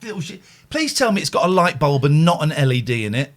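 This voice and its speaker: A man co-hosting a live chat show, joking and teasing his guest in a casual, animated way. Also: on the high side, fast, background noise. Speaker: co-host.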